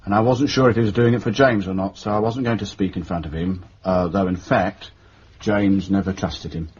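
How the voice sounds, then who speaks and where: Low-voiced deferential undertaker played by an actor, muffled. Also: male voice, fairly even intonation, moderate pace, slight background noise, lightly acted. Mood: defensive, wry. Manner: deferential, self-justifying, folksy turns of phrase. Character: Bowles, stubborn respectful working-class old undertaker. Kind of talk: British radio drama acting.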